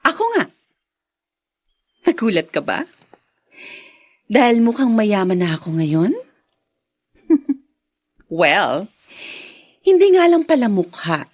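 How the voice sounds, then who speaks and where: A woman's voice: medium pitch, big pitch swings, slow, pauses often, no room sound. An actress playing a vengeful, gloating rich woman boss in a Filipino radio drama, with a mocking, theatrical delivery. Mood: taunting.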